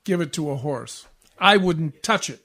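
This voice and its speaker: A man's voice: a little high, lively intonation, unhurried, bright, forceful tone, heavily acted. Man acting a grumpy henpecked 1940s radio husband. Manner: sarcastic, curt grumbling. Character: grumpy, exasperated, henpecked husband, a struggling salesman. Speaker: community theatre actor.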